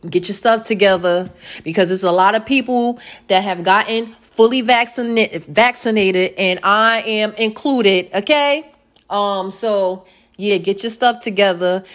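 A woman in her late thirties hosting a casual solo podcast, chatty and playful, repeating words and filling pauses with um. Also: mid-range voice. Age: late 30s. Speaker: podcast host.

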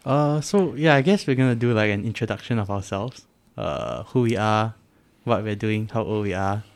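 A man's voice: lowish voice, expressive, moderate pace. A man in his early twenties with a Singaporean accent, co-hosting a casual podcast. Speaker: podcast co-host.

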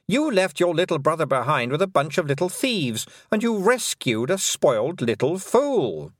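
A man's voice: a little high, big pitch swings, normal speed, a bit bright, no room sound, clean studio sound, pitched above the performer's own voice. A man voicing a silky, mocking fairy queen. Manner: mocking, condescending, sweetly cruel. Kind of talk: audiobook character voice.

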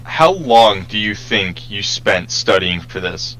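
A man's voice: fairly low pitch, lively intonation, slow pace, bright, forceful tone, poor audio. A young man co-hosting a casual podcast chat, blunt and sweary in how he talks. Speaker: podcast co-host.

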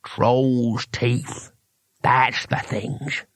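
A man voicing a gruff old barbarian adventurer in a storybook, with a husky, slurred, toothless mumble and blunt colloquial talk. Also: medium pitch, flat delivery, extremely slow, a bit bright, no room sound, studio quality. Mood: matter-of-fact.

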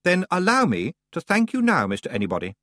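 A man's voice: high-pitched, huge pitch swings, brisk, pushed and bright, pitched above the performer's own voice, full character voice. A man voicing an earnest, somewhat anxious young male hero in an audiobook. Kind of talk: audiobook character voice.

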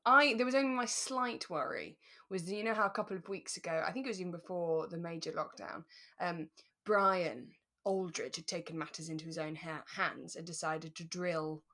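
A young British woman co-hosting a podcast in relaxed, reflective, conversational chat. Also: fairly low pitch, moderate pace. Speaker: podcast co-host. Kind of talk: relaxed chat reviewing a radio drama.

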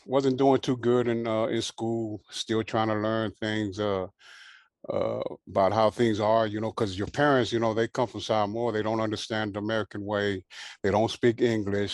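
A man in his sixties from Hawaii, raised in Southern California, telling his life story as a guest in a relaxed way, full of fillers. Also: on the low side, dry recording, studio quality. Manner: relaxed, unhurried, many fillers and "you know". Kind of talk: casual interview storytelling.

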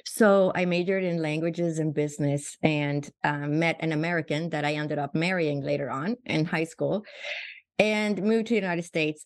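Woman in her sixties, low-voiced. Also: a little mellow, dry recording. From Sweden. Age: 60s. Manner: earnest, reflective, frequent restarts and repetitions. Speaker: guest physician. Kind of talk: conversational storytelling.

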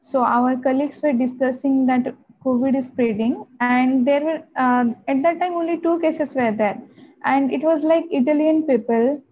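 A young woman from Odisha with an Indian accent, a guest recounting her own experience in a plain, steady way. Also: high voice. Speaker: guest, software engineer. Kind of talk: personal account in an interview. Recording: dull lo-fi audio.

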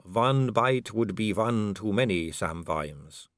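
A man's voice: lowish voice, expressive, fairly bright tone, dry recording, clean studio sound. Man voicing a silky aristocratic lady vampire. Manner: slow, silky, languid delivery. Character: suave, poised aristocratic female vampire.

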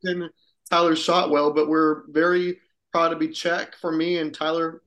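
A man co-hosting a podcast, explaining points in a quick, upbeat, casual way. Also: fairly high pitch, flat delivery, a bit bright, poor audio. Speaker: podcast co-host.

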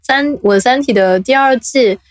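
A woman hosting a podcast in casual chat, animated, giggly and teasing, close on the mic. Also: high-pitched, normal speed, no room sound. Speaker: podcast host. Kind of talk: casual chat, introducing a guest.

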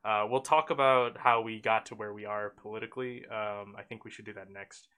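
Bright-voiced young man, rambling casual talk. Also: fairly low pitch, steady tone, fairly quick, clean tone. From Oakland, California. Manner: loose and rambling, many fillers and restarts. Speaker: podcast co-host. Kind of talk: casual podcast conversation.

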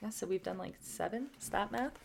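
A woman's voice: medium pitch, fairly quick, creaky, a little mellow, a little hiss or hum. A woman co-hosting a podcast, telling stories casually with chatty asides. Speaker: podcast co-host.

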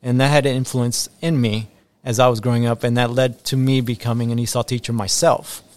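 A man's voice: medium pitch, little pitch movement, brisk. A man from Ecuador, an assistant principal in his thirties, answering school podcast questions earnestly with frequent ums and repeated phrases.

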